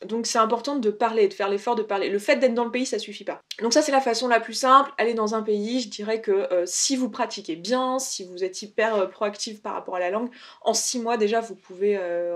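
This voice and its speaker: A woman speaks in a medium-pitched voice. She talks like a video blogger sharing advice, casual and unscripted. Her delivery is conversational and explanatory, often rewording a point as she goes. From France. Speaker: video blogger giving language-learning tips.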